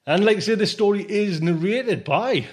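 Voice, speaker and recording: A man with a higher-than-usual voice hosts a podcast. He talks casually and loosely, wandering through his points and checking in with listeners in an informal, chatty way. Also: animated, moderate pace. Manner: chatty and informal, full of conversational tag phrases. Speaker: podcast host. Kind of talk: casual, rambling podcast chat.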